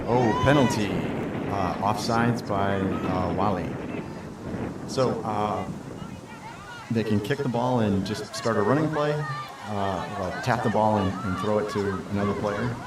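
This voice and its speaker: American man calling a game outdoors. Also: on the low side. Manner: explains rules to newcomers, fillers and restarts. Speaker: play-by-play commentator. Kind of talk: live amateur sports commentary. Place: outdoors at a sports field. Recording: echoey outdoor sound from far off with loud noise.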